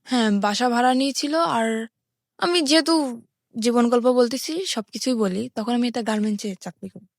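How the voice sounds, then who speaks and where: A woman's voice: fairly high pitch, moderate pace, no room sound, studio quality. A woman from rural Bangladesh with a Bangladeshi accent, telling her life story step by step in a plain-spoken way as an interview guest. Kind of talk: personal life-story narration in a radio interview.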